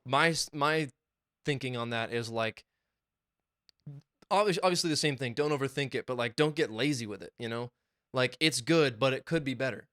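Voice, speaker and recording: Young man, podcast co-host, casual excited rambling, smooth clear voice, dry close sound. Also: medium pitch, normal speed, no echo. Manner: excited, swearing, rambling storytelling. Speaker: podcast co-host and music producer. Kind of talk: casual chat. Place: small home studio.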